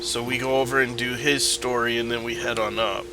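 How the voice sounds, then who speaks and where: A man's voice: medium pitch, fairly even intonation, a little fast, sharp tone, faint music behind, low-quality recording. A man casually commenting while playing a video game, rambling and thinking aloud, with a stuffy nose. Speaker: gameplay streamer. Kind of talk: casual let's-play commentary.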